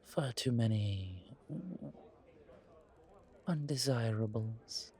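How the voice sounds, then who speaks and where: A man playing a genteel, world-weary vampire in an audio roleplay, speaking in a courtly, deliberate way with pauses and sighs. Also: medium pitch, lively intonation, a little mellow. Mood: wry distaste. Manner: courtly, deliberate, pausing, sighing between phrases. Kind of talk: slow audio roleplay acting, addressed to listener.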